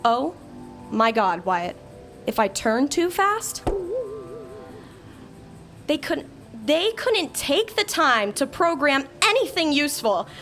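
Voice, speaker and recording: A high, lively female voice that swings widely in pitch, sharp-tongued and exasperated, as a woman acts the part. It is a comedic audio drama with faint music underneath. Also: slow, long pauses, full character voice. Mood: mortified.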